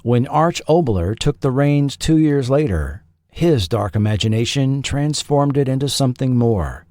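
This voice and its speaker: A man reading a scripted documentary narration as host, with measured, dramatic phrasing. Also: medium pitch, expressive, darkish tone, no room sound, studio quality. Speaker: host and narrator of a history show.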